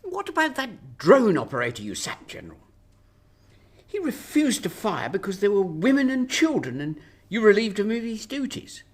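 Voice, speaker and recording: A woman acting in a radio drama as a sharp-tongued, anxious Prime Minister, interrogating with emphasis and sarcasm. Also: medium pitch, very animated, moderate pace, breathy edge. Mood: anxious, sarcastic.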